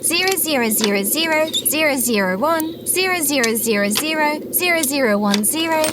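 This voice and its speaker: A woman's voice: sits high, lively intonation, normal speed, heavy background noise. A woman playing a childlike, earnest female robot in an audio drama, literal-minded, naive and eager. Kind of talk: scripted audio drama acting.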